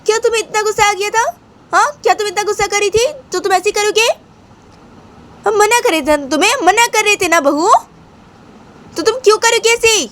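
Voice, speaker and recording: A woman voicing an elderly grandmother who scolds her daughter-in-law, nagging and repeating herself in a shrill tone. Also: brisk, pauses often, fairly bright tone. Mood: scolding.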